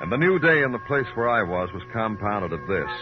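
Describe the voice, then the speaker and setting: A world-weary American detective in a vintage radio crime show. His low voice is clipped, hard-boiled and moody. Loud music runs behind the dull, aged recording. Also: big pitch swings, a little fast. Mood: wistful, brooding. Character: world-weary, terse, brooding male New York police detective. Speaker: lead actor and narrator. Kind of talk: 1950s radio noir acting and narration.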